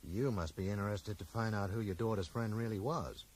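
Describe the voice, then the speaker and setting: An actor playing a dogged, dry-witted bookseller who questions people like a detective, direct and wry, in a radio drama. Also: male voice, on the low side, fairly quick, a little mellow, natural voice. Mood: probing, insistent. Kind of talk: 1980s radio drama acting. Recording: noisy 1980s radio broadcast.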